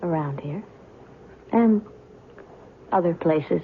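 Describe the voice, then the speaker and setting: A woman's voice: lowish voice, slow. An actress playing a mysterious, aloof woman with a cool, curt, knowing manner in an old-time radio drama. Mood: aloof, evasive. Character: mysterious, aloof stranger calling herself a reporter, coolly knowing. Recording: tinny, band-limited old radio recording, faint noise.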